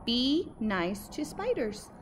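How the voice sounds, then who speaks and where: A woman's voice: fairly high pitch, sing-song, slow, a little hiss or hum. Woman reading as a bossy, emphatic male zoo keeper. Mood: pleased, commanding.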